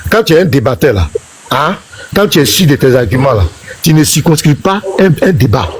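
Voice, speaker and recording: A man's voice: mid-range voice, sing-song, fairly quick, husky. A man, a pastor, arguing heatedly in a live online religious debate, insisting on being heard and issuing challenges. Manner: insistent, challenging, talks over others.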